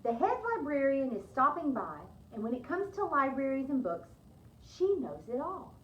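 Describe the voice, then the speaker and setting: A flustered storybook librarian, played by a woman reader, polite and patient yet exasperated. The voice is fairly high with lively swings in pitch, heard in an echoey room. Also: moderate pace, muffled recording, slight background noise. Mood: frazzled.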